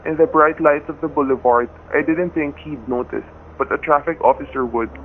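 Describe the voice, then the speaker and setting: A man playing a quick-thinking hostage husband in a radio thriller, talking and narrating in a tense, hard-boiled way. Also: a little high, natural voice. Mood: grim, tense. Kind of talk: radio thriller acting and first-person narration. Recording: dull, noisy radio-play recording.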